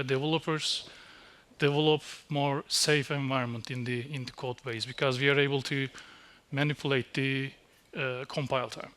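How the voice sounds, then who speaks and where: A man, giving a technical conference talk on a dark stage, halting with fillers and restarts. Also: fairly high pitch, smooth. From Turkey. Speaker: conference speaker. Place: dark stage in a small venue.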